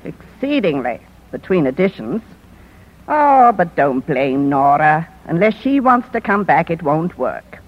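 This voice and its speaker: A shrewd older newspaper lady, dry wit, swooping pitch, dull old radio recording. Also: very animated, unhurried, exaggerated voice. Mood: wry, affectionate. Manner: dry, wry, theatrical. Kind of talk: 1950s American radio drama acting. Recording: dull vintage 1950s radio broadcast.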